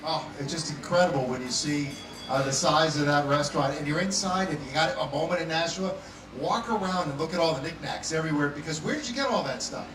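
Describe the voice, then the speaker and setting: A man's voice: on the high side, brisk, background noise. A man hosting a food radio and TV show, introducing a guest chef live at an event in an upbeat, promotional, announcer-like way. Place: outdoor festival stage. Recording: echoey PA sound from far off.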